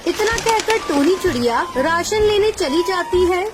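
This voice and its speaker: A woman's voice: very high-pitched, lively intonation, a little slow. A woman reading scripted narration for a children's animal story. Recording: music behind the voice.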